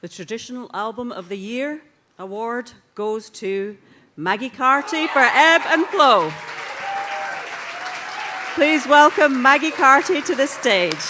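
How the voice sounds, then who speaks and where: A woman presenting an award at an awards night, announcing the winner clearly and deliberately. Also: fairly high pitch, animated, crowd noise. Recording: noisy crowded hall.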